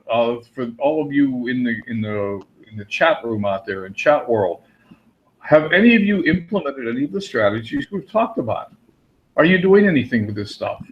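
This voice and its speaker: A man with a Pennsylvania Dutch accent hosting a live webinar, chatting with viewers and calling on them by name. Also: mid-range voice. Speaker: webinar host. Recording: dull lo-fi audio.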